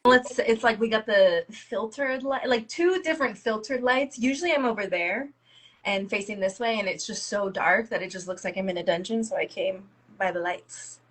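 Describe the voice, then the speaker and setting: Brief: woman guest with a cold, slight rasp, sniffly, giggly and self-deprecating, video-call sound. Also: medium pitch, normal speed, fairly bright tone. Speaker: guest nail product creator. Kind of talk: casual chat.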